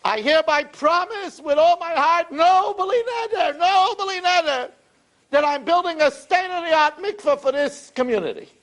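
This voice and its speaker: A man voicing a loud, boastful, quarrelsome rich man who speaks in a shrill, indignant, exclaiming way. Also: expressive, moderate pace.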